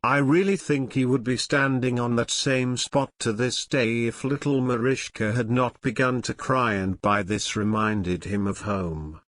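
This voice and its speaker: A man's voice: medium pitch, steady tone, measured pace, few pauses, a bit bright, no room sound, studio quality. A man reading a scripted storybook tale as narration for English learners.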